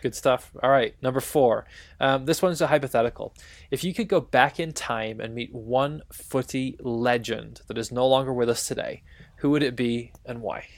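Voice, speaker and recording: A man with a noticeably creaky, gravelly edge to an average-pitched voice. He talks casually as a podcast co-host, posing football questions in a conversational way. The sound is close and dry. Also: normal speed, no room sound. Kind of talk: casual football quiz chat.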